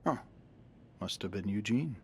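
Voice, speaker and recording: A man's voice: fairly low pitch, expressive, unhurried, a little mellow, a little hiss or hum. An actor playing a stern, impatient male hotel manager in a video game mystery, speaking in a curt, formal, authoritative way. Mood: stern, brusque. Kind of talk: video game cutscene acting.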